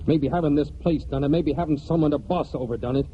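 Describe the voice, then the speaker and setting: An actor playing a proud, hot-tempered young country man in 1940s radio drama, with folksy rural speech and dramatic pauses. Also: a little high, slight background noise, close to an everyday voice. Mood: bitter, angry. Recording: dull 1949 radio recording, music behind.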